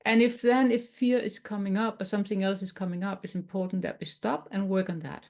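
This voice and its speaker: A woman from Denmark with a Danish accent, teaching tapping in a webinar in a gentle, explanatory way with some non-native phrasing. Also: mid-range voice, steady tone, brisk. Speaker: tapping coach.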